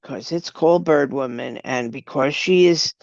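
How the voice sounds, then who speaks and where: Older lady w a low clear smooth voice, chatting as an interview guest, dry kinda dull audio. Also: measured pace, a little mellow, no room sound. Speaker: guest, writer and director. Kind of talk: conversational interview answers.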